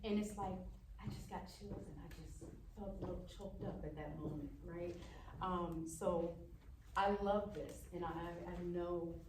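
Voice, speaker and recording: A woman giving casual feedback as an actor on a panel, speaking haltingly. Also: on the low side, steady tone. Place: live event room at a film festival. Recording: echoey, distant, noisy room.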